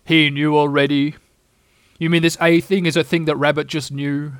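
A man voicing a gloomy, pompous old male donkey who talks slowly, solemnly and bitterly. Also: on the high side, level tone, a bit bright, smooth, no echo, clean studio sound, close to an everyday voice. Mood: scornful.

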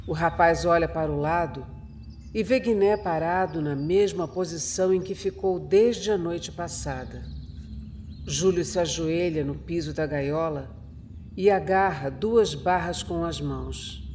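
A man narrating a scripted horror radio drama in a measured, dramatic manner. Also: on the high side, a little mellow, slight background noise. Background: music.

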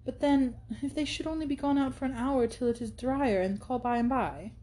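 A woman's voice: high voice, moderate pace, dark tone, voice pushed up, close to an everyday voice. A woman reading aloud and voicing an eager, hesitant young heroine who asks earnest, anxious questions.

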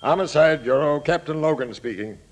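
A man's voice: on the high side, brisk, lightly acted. Vintage radio crime drama: male actor as brusque, commanding homicide captain, businesslike, tenor-leaning. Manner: curt, bossy, businesslike. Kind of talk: 1940s radio drama acting. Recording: old-time radio recording.

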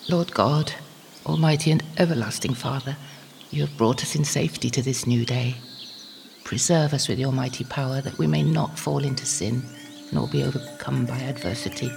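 Creaky old devout woman. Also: extremely low pitch, normal speed, a little mellow, faint music behind, full character voice. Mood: solemn prayer. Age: older. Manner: talking to herself, muttering and exclaiming. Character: old, devout, lonely, feisty medieval anchoress with aching joints. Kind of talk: audio drama monologue.

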